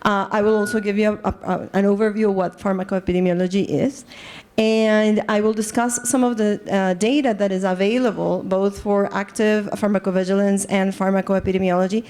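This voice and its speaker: A woman's voice: mid-range voice, steady tone, moderate pace, darkish tone. Colombian woman giving an academic lecture. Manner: earnest, lots of fillers and restarts, engages audience. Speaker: assistant professor, presenter. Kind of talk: academic lecture to a live group. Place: conference room.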